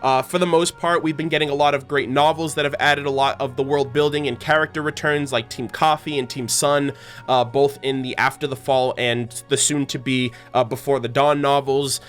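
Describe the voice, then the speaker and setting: A man's voice: mid-range voice, steady tone, moderate pace, a bit bright, soft background music. A man giving casual, enthusiastic, rambling fan commentary as a channel host. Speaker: host of a fan channel about an animated series.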